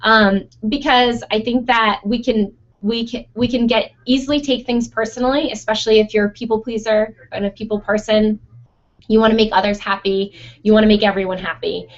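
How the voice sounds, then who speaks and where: A young woman who runs a photo studio, answering listener questions in a chatty, casual way with frequent fillers and laughs, her voice going hoarse. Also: mid-range voice. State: losing her voice. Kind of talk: casual Q&A monologue. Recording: dull lo-fi sound.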